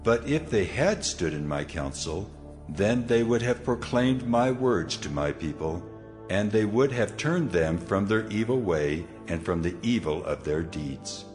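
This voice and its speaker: Man, low-pitched, solemn dramatized scripture narration, music underneath. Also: expressive, measured pace, darkish tone. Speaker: Bible narrator. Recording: music behind the voice.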